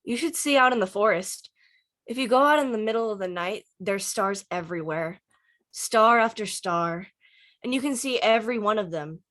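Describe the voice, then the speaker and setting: A woman's voice: mid-range voice, moderate pace, fairly bright tone, natural voice. Woman doing a wry teen dreamer at a script table read, dry teasing banter, kinda philosophical. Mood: musing, wry. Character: Dante, a dry, wry, star-loving teenager.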